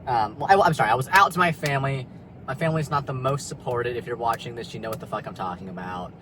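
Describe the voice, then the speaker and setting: A man's voice: mid-range voice, fast, background noise. A young man talking candidly in a personal vlog from his car in a parking lot, rambling and confessional, with lots of fillers and swearing. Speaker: vlogger.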